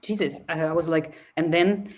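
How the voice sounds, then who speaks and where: A woman's voice: low voice, fairly even intonation, normal speed, a crowd in the background. A woman hosting an online session who chats casually, reads viewer comments aloud and laughs often. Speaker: host.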